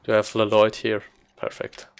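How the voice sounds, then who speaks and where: Guy with a lowish voice talking through his speedrun, kinda halting, just thinking out loud. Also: monotone, vocal fry, clear, resonant tone. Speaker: speedrunner commentating own gameplay. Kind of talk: casual live gameplay commentary.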